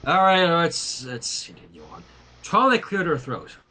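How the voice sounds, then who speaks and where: A man's voice: fairly high pitch, big pitch swings, a little slow, sharp tone. A man reading fan fiction aloud on a livestream, narrating and adding casual asides.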